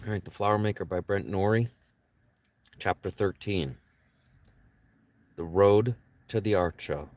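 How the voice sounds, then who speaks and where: A man's voice: lowish voice, measured pace, pauses often, a little mellow. A man, an author reading his own novel aloud as an audiobook narration, with casual asides to listeners.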